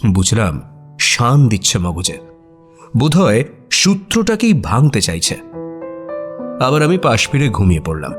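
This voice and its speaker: A detective's companion telling a mystery story. His mid-pitched voice moves in lively swings, expressive and suspenseful, with faint music underneath. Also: unhurried, long pauses. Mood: observant, suspenseful. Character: male detective's companion narrating a mystery, observant and engaged. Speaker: story narrator. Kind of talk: dramatic scripted audio story narration.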